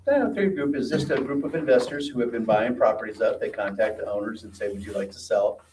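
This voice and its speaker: A man's voice: medium pitch, fast, background noise. A man on an owners' association board, answering residents at a community meeting in a plainspoken, reassuring way. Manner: plainspoken, reassuring, gives practical advice. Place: meeting room. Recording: roomy hall audio from a distant mic.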